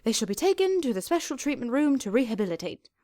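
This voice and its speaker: A woman's voice: on the high side, very animated, fast talker, dark tone, no room sound. Woman acting as royal pony princess, imperious, stately archaic phrasing, storybook fantasy character, close dry sound. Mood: regal, insistent. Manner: stately, commanding, archaic formal speech.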